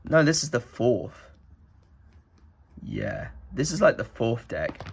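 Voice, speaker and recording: Young man, nasal with a cold, casual rambling delivery, mid pitch. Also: lively intonation, slow, vocal fry, breathy edge. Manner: rambling, many "like" fillers. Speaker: card collector vlogger. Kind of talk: casual rambling show-and-tell.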